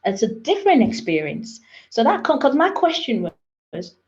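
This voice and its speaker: A woman's voice: sits high, normal speed. Woman who grew up in nigeria on a webinar panel, emphatic, kinda muffled call audio. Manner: animated, emphatic, with rising questions. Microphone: video call.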